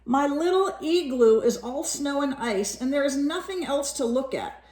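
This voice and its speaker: A woman voicing a wishful male polar bear from a children's storybook, with expressive, drawn-out delivery. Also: high-pitched, pitched above the performer's own voice. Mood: plaintive, pleading.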